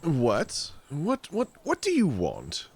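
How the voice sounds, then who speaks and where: A man's voice: fairly high pitch, huge pitch swings, takes it slowly, husky, no room sound, heavily acted. A man playing a self-important, irritable dog wizard who stammers and grumbles in a comic audio drama. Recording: faint background noise.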